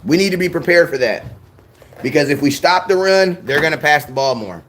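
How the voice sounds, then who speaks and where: A young man hosts a casual fan show in an easygoing, excitable way. His voice sits fairly high for a man. He talks in slang and repeats phrases when he gets worked up. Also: fairly quick, slight background noise. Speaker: sports fan show host. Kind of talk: casual live-stream chat.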